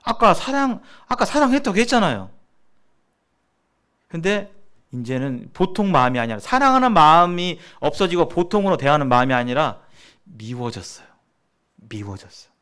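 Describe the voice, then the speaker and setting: A man's voice: fairly high pitch, sing-song, moderate pace, a bit bright. A man teaches church members in a conversational, sermon-like way, explaining points and putting questions to his listeners. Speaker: church seminar speaker.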